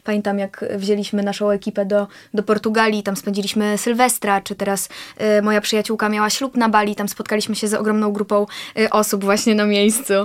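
A woman, an actress guest in a casual interview, talking in a chatty, reflective way with frequent pauses. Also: medium pitch, completely flat delivery.

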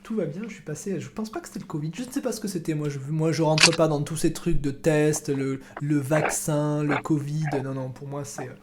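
Rambling podcast host, upbeat. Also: male voice, a little high, fairly even intonation, a little fast, few pauses, heavy background noise. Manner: rambling, repeats words, jokey. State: recovering from illness. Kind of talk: casual chat.

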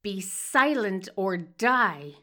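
A woman's voice: mid-range voice, expressive, drawn-out words, sharp tone, exaggerated voice. A woman voicing a menacing, contemptuous male villain doctor with a dangerous, commanding manner in an audio drama. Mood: furious. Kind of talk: audio fiction acting.

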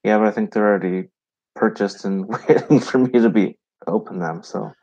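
A man's voice: fairly low pitch, normal speed, darkish tone, husky, highs cut off. A man with an American accent chatting casually as a podcast co-host, in a hesitant, easygoing way. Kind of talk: casual chat.

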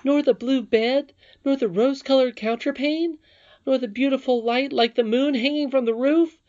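A woman's voice: medium pitch, big pitch swings, moderate pace, a little mellow, lo-fi sound, voice pushed up, natural voice. A woman voicing an earnest young princess in a storybook, eager and easily wounded. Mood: bewildered. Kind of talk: storybook character reading.

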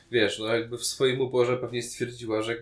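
A man's voice: mid-range voice, level tone, unhurried. A man, a podcast co-host casually discussing a TV series in a colloquial, opinionated way.

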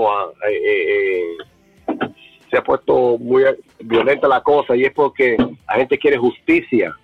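A man from the Dominican Republic talks earnestly with a husky, breathy voice. His sound is dry and close but comes through a dull, muffled line. He often repeats a word while he searches for the next one. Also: mid-range voice, no room sound. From Dominican Republic, raised in Puerto Rico. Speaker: interviewee, bar owner. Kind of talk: remote interview.

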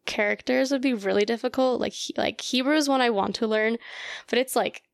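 A woman's voice: fairly high pitch, fairly quick, vocal fry, no echo, clean studio sound. An American woman in her early twenties chatting informally as an interview guest, often dropping in "like". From Colorado, United States. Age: early 20s. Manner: informal, peppered with "like". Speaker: podcast guest, graduate student. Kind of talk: casual interview chat.

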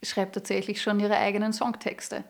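A woman's voice: medium pitch, flat delivery, moderate pace, slight background noise. A woman in her thirties with an Austrian accent, a singer-songwriter talking as a podcast guest, candid with fillers and restarts. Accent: Austrian German. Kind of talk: casual podcast interview.

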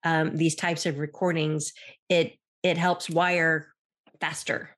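A woman's voice: low-pitched, flat delivery, slow pace, fairly bright tone. An American woman speaking as a hypnotherapist and podcast guest, explaining things casually and acting out short quotes. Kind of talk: casual explanatory interview talk.

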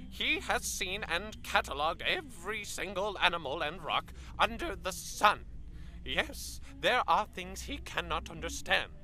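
A man's voice: sits high, sharp tone, heavily acted, low-quality recording. A man playing a pompous, philosophical professor who lectures in a lofty, musing way. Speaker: professor interviewed on a broadcast.

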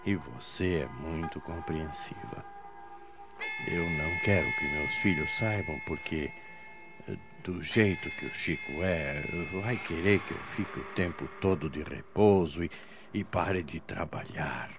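An actor playing a kindly, ailing older father in a radio drama, speaking haltingly and gently. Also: extremely low pitch. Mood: weak, earnest. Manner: halting, stammering. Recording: dull lo-fi radio drama, music behind.

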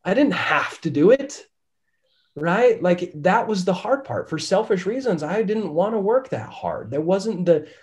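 A man, a real estate investor and podcast guest, telling his story candidly in a casual interview, his voice raspy from illness. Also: high voice, big pitch swings, measured pace. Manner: candid, says "right?" often.

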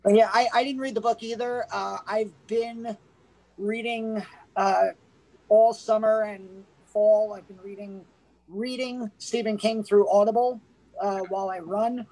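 A man co-hosting a casual movie podcast, relaxed and knowledgeable, talking with frequent fillers. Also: high voice, unhurried, rough recording. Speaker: podcast co-host. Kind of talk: casual movie review chat.

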